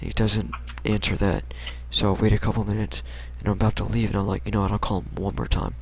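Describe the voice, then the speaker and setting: A man's voice: on the low side, flat delivery, fast talker, slightly rough. A young American man casually telling a personal story in a rambling, slangy, hesitant way. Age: young adult.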